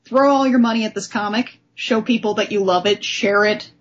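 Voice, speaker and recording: A woman's voice: mid-range voice, normal speed. A woman hosting a discussion podcast about comic books. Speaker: podcast host. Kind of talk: comic book discussion with dramatic letter reading. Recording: dull, lo-fi podcast audio.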